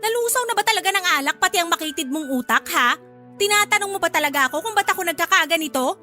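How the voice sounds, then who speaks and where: A woman's voice: very high-pitched, animated, quick pace, pushed and bright, faint music behind, full character voice. An actress with a Filipino accent playing a fed-up wife and mother, scolding in exasperation in a radio drama. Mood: furious, sarcastic.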